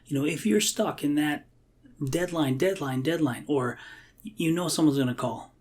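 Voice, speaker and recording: A man's voice: on the high side, normal speed. A man chatting casually and reflectively in a podcast conversation. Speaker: IT worker.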